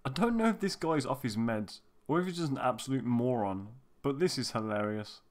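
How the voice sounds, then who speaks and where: A man's voice: medium pitch, animated, a little fast. A man hosting a commentary video, talking casually to his viewers.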